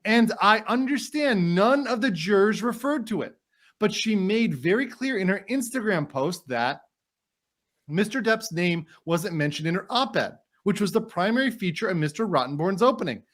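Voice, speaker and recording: Man playing a high-pitched, indignant trial lawyer. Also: animated, normal speed. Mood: outraged. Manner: formal, emphatic, argumentative. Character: indignant, courteous male trial lawyer addressing a judge. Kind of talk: dramatic reading of a court transcript.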